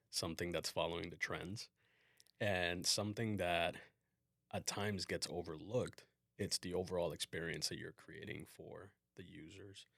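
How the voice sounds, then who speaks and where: A man hosting a casual work podcast as a design manager, explaining things in a relaxed way with frequent fillers. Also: deep voice, gravelly, no room sound, studio quality.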